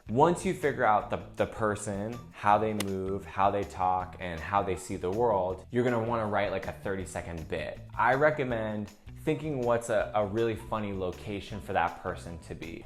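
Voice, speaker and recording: Low-voiced man teaching casually over soft music. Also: normal speed, few pauses. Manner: conversational, peppered with "like". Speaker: comedian teaching character reels. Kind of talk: casual instructional talk. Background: faint music.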